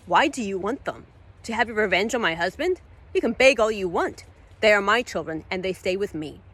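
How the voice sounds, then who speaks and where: A woman's voice: mid-range voice, expressive, brisk, fairly bright tone. A woman reader voicing a defiant, protective mother in a fantasy story, speaking firmly and emphatically. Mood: cold defiance. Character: defiant, fierce young mother protecting her children.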